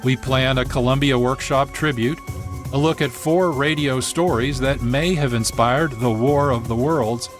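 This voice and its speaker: A man hosting a radio programme, reading scripted narration in a measured, formal way. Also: mid-range voice, music in the background. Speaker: radio show host.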